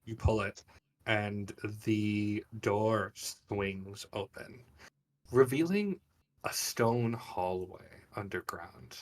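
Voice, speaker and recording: A man's voice: fairly low pitch, drawn-out words, far from the mic. A man working as game master, telling a tabletop fantasy story in descriptive, dramatic detail. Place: live online game stream.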